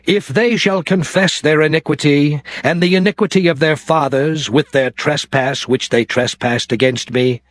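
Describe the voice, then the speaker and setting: A man's voice: fairly high pitch, normal speed, few pauses, no echo, close to an everyday voice. A man playing God as a stern, solemn deity in a dramatized King James scripture reading, declaiming in archaic diction. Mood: solemn, resolute. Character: God, stern male deity pronouncing curses and covenant. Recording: music behind the voice. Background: clear background music.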